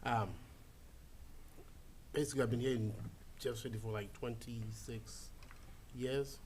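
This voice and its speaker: Relaxed, hesitant man from Zimbabwe. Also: medium pitch, a little slow, slight background noise. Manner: relaxed, hesitant, many fillers. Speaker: school board candidate.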